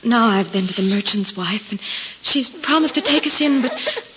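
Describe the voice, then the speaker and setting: A woman's voice: fairly high pitch, animated, moderate pace, lightly acted. A woman playing a destitute soldier's wife in a radio drama, plaintive, breathless and humbly grateful. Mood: sorrowful. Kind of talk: 1940s radio drama acting. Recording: muffled, noisy 1940s radio recording.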